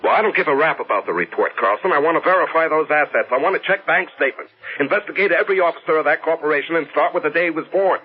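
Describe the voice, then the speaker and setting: Driven businessman, animated, vintage radio. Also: a little high, rapid-fire, few pauses, husky. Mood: brusque, impatient. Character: brusque, driven self-made businessman, thirty-two years old. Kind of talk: 1970s radio drama acting. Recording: dull vintage radio recording with faint music.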